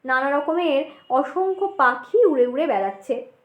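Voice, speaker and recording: A woman with a distinctly high voice narrates a scripted ghost story in an expressive storytelling style. The sound is noticeably roomy, with echo around her words. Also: unhurried, lo-fi sound.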